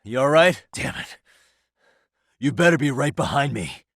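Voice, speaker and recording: A man's voice: medium pitch, animated, a little slow, vocal fry, a bit bright, breathy edge, dry recording, studio quality, full character voice. A man with an American accent voicing a cool, determined private detective in a video game, speaking in a casual, wry, conversational way. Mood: urgent. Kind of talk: video game voice acting, naturalistic dialogue.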